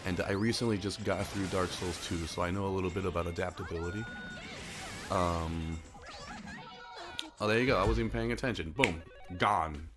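A young man with a deep, low voice whose first language was Japanese. He rambles and jokes at his own expense in loose, casual commentary while he plays a game for his channel. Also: fairly even intonation, moderate pace, long pauses, music in the background. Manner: rambling, self-mocking, chatty. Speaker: gaming channel host.

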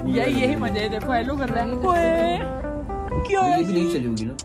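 A young man filming a travel vlog outdoors on a snowy train platform and inside a train, chatting excitedly with his viewers. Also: very high-pitched, moderate pace. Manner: excited, chatty, keeps calling viewers brother. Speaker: travel vlogger. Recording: background music mixed under the voice. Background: music.

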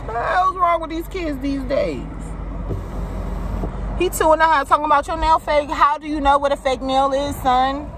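A woman in her thirties telling a story to her phone camera from a parked car, animated and swearing freely, shrieking when she acts out scenes. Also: high-pitched, normal speed. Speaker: vlogger. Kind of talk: casual storytelling. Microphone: phone camera on dashboard. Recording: loud car and road noise. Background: car and traffic noise.

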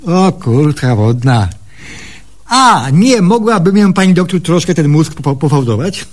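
Naive village simpleton patient, high and swooping voice, eager and folksy, old style radio sketch. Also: male voice, animated, measured pace. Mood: puzzled, trusting. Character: simple, gullible, eager male country patient. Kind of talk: comic radio sketch acting.

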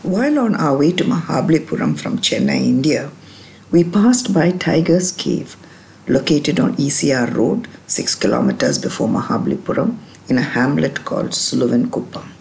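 Woman, travel narrator, very low voice, gravelly, lively pitch, faint hiss. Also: moderate pace.

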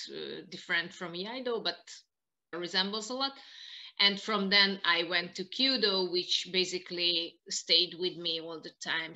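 A woman in her thirties with a Hungarian accent, answering interview questions in halting non-native English on a video call. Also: on the low side, pushed and bright. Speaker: interview guest, martial arts practitioner. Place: small living room indoors, on a video call.

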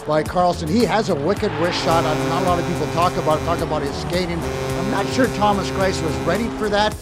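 Older male hockey analyst, raised pitch. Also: a little fast, over loud music. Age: 60s. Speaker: sports analyst. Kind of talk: conversational post-game hockey analysis. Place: inside a large hockey arena. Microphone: handheld broadcast microphone.

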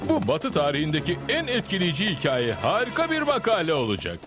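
Animated, nosy frontier reporter. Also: male voice, fairly high pitch, fairly quick. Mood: excited. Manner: excitable, inquisitive. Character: eager, nosy Old West newspaper reporter. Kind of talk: Turkish cartoon dub acting. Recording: faint music behind the voice.

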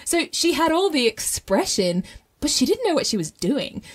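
Female podcast host, slightly high voice, informal explainer delivery, animated and conversational. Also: moderate pace. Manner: conversational, animated storytelling with rhetorical check-ins. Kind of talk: casual explanatory podcast monologue.

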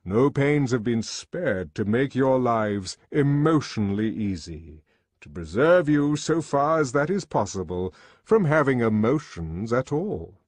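A man's voice: medium pitch, sing-song, unhurried, a little mellow, no room sound, pitched above the performer's own voice. A man voicing a lofty, commanding male world ruler with an oratorical manner in an audiobook. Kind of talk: audiobook character acting.

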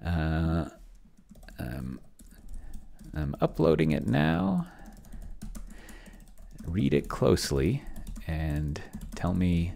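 Very deep, gravelly man thinking aloud. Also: darkish tone. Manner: rambling, muttering asides to himself. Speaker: host of a solo roleplaying demo stream. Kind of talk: casual screen-share walkthrough, thinking aloud. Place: indoors at a desk, on webcam.

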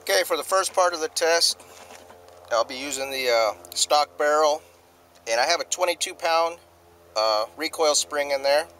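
A man's voice: a little high, moderate pace, pushed and bright, slight background noise. A middle-aged man casually explaining a test outdoors in open desert, talking plainly and stopping often on "uh" fillers, recorded on the camera mic. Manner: hesitant, many "uh" fillers, plain and matter-of-fact. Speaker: firearms video host. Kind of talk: casual hobby demonstration.

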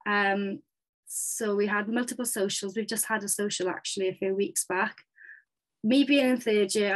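A woman's voice: medium pitch, low-quality recording. A young woman in her twenties with a Welsh accent, a native Welsh speaker, giving an upbeat, chatty presentation as a physiotherapy student over a video call. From Wales. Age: 20s. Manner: upbeat, chatty, with frequent ums. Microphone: webcam on a video call.

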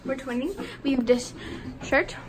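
A woman's voice: high voice, unhurried. A teenage girl chatting casually to her vlog on a phone held at arm's length. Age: teens. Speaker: vlogger. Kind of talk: casual chat. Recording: noisy handheld phone vlog.

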